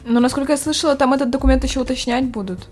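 A woman's voice: high-pitched, fairly even intonation, very fast, darkish tone, faint music behind. A woman taking part in a casual panel discussion, adding brief conversational remarks.

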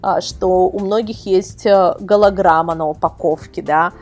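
A woman's voice: lowish voice, steady tone, measured pace, a little mellow, faint music behind. A woman speaking as a guest expert in a casual podcast interview, chatty and colloquial as she explains things. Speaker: guest expert, portal editor. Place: remote video call.

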